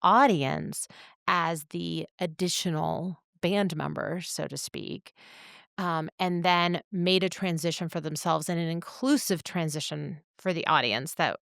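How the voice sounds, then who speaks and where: A woman co-hosting a podcast, talking in a thoughtful, measured, reflective way. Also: on the low side, gravelly, a bit bright, no echo, clean studio sound. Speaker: podcast co-host.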